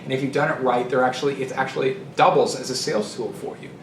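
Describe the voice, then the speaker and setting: A man's voice: mid-range voice, flat delivery, fast. Echoey, emphatic man giving blunt sales advice. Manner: emphatic, blunt, repeats phrases for stress. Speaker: sales trainer and business show host. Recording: echoey room, distant mic, noisy.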